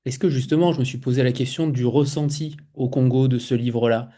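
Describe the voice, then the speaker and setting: A man's voice: medium pitch, steady tone, brisk, warm tone. A man hosting a literary interview, asking long, multi-part questions and reading out a quote from the book.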